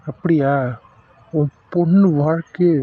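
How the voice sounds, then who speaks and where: Man playing a corrupt doctor, cold, threatening, deep low voice, dry lo-fi sound. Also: steady tone, no echo. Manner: slow, low and intimidating.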